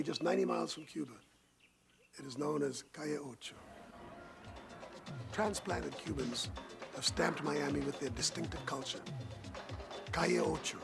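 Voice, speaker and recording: A man reading scripted documentary narration in a measured, informative way. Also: on the high side, gravelly. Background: music.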